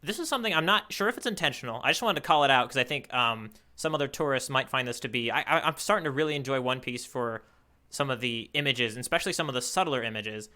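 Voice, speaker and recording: A man's voice: medium pitch, expressive, fast talker, sharp tone. A man co-hosting a podcast, chatting casually and rambling as he thinks aloud and hedges his points. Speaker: podcast co-host. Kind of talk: casual chat, manga readalong commentary.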